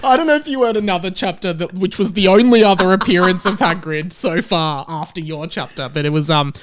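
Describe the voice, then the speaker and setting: A man's voice: sits high, fairly quick, fairly bright tone. Young man joking on a comedy podcast. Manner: joking, often breaking into laughter. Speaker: podcast host. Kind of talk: casual comedic chat.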